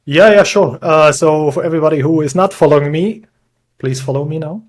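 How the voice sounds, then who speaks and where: A man's voice: on the high side, fairly quick. Swiss man chatting casually. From Switzerland. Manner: chatty, self-deprecating, laughs often. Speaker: podcast guest. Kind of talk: casual conversational interview.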